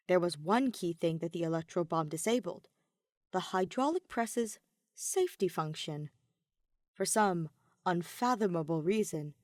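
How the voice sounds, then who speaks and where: Woman, mid-pitched voice, scripted dramatic narration, audiobook storyteller, very dry recording. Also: a little mellow, no echo. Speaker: audiobook narrator of fan fiction.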